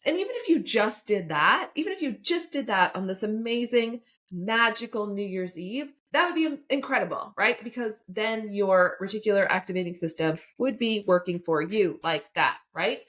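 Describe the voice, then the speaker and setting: A woman's voice: mid-range voice, animated, normal speed, hardly any pauses, fairly bright tone. Woman, 50s, podcast host and coach; enthusiastic, chatty motivational delivery, mid-range voice. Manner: enthusiastic, chatty, frequent "right?". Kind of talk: solo podcast, motivational talk.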